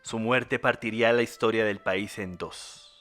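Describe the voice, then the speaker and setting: A man's voice: fairly low pitch, steady tone, a little slow, a bit bright. A man reading scripted documentary narration as the narrator.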